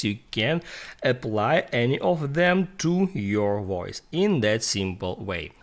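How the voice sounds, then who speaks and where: A man who is a non-native English speaker narrates a step-by-step tutorial in a sing-song, halting way. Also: mid-range voice, a bit bright. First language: not English. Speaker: tutorial presenter.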